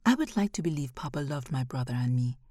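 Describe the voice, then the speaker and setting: A woman's voice: a bass voice, lively intonation, quick pace, mellow, dry recording, clean studio sound. A woman reading a first-person short story as scripted narration.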